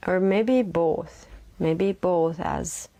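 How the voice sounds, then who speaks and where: A woman leading a guided meditation in a soothing, unhurried manner, with long pauses, repeated phrases and a soft voice that is breathy at times. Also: lowish voice, no echo.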